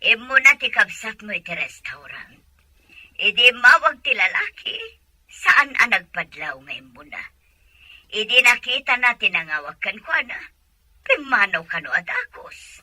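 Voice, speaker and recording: Worried mom in a radio drama, highish and really bright, tense, lively. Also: female voice, unhurried, dry recording, heavily acted, rough recording. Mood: fretful. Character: mother fretting over her upset daughter. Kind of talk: Philippine radio serial acting.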